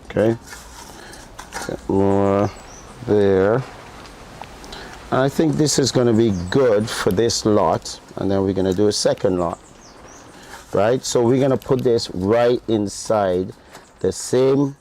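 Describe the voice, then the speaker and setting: A man's voice: lowish voice, slow, long pauses, dark tone, a little hiss or hum. A man with a partly English accent hosting a cooking show as a chef, giving a chatty step-by-step demonstration and often checking in with the viewer. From Guyana. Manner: chatty, keeps checking in with "right?".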